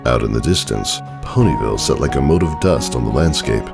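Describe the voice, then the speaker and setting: A man reading a scripted story as narrator, with dramatic, measured phrasing and deliberate pauses. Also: a bass voice, lively intonation, creaky. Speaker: fan fiction narrator. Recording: music under the voice. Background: background music.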